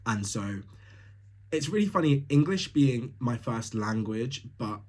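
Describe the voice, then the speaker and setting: Husky-voiced young man, chatty and blunt. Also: medium pitch, expressive, measured pace, a little mellow. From Tanzania. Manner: chatty, blunt, laughs often, many fillers. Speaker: podcast host. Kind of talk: casual solo monologue.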